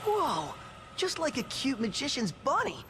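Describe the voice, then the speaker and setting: A man voicing a laid-back, goofy mutant turtle who talks in excitable surfer slang. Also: very high-pitched, a little slow, noisy background, heavily acted.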